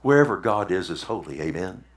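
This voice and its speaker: A man preaching a sermon, animated, often asking the congregation "Amen?" and retelling scripture in his own words. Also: low voice, a little fast. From Texas.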